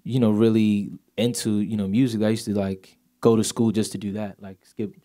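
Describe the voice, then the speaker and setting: Guest singer, Swedish-born man in his 20s, low voice, light accent, easygoing and filler-heavy. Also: level tone, moderate pace, mellow. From Sweden. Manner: laid-back, many fillers like "you know". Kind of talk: casual interview chat.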